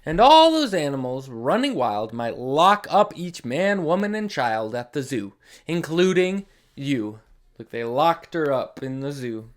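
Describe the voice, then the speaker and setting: A man's voice: a little high, big pitch swings, unhurried. A man hosting a read-along for children, reading aloud expressively with dramatic emphasis and chatty asides to young listeners. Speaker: host of a children's read-along story series.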